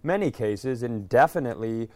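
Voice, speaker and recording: A man's voice: medium pitch, animated, moderate pace, mellow, dry recording. A man bantering casually as a comedy podcast host, with a crude, sardonic way of riffing.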